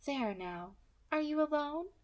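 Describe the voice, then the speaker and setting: Soft alto villainess, as a woman reads her. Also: sits high, expressive, a little slow, pitched above the performer's own voice. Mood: coolly menacing. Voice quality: soft alto, pleasant and smooth. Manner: light, friendly, quietly threatening. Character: soft-spoken, menacing woman kidnapper, calm and polite.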